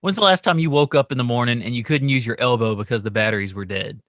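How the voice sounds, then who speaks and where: A man in his thirties co-hosting a comedy podcast, bantering casually with a dry, deadpan delivery. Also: mid-range voice, quick pace, no echo. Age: 30s.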